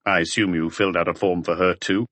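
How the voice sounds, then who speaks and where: A calm, methodical police chief in a detective audiobook, played by a male reader. The voice is low and dry, putting short, probing questions. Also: quick pace, dry recording.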